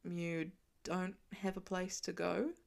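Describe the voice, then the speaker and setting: A woman's voice: on the low side, flat delivery, slow pace, gravelly, a little mellow, voice pushed down. A woman voicing a confident, wisecracking grown man who talks in a dry, teasing way. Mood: wry. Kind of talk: audiobook fan reading.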